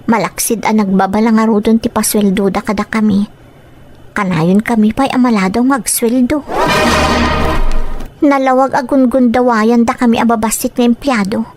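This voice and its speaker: An actress in an Ilocano audio drama playing an earnest, dutiful young working daughter with a measured delivery. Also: female voice, on the high side, steady tone, darkish tone, faint music behind, close to an everyday voice. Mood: reflective.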